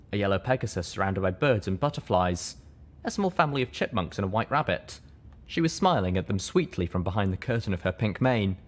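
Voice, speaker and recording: A man reading a first-person story as an audiobook narrator, with expressive, dramatic delivery. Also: deep voice.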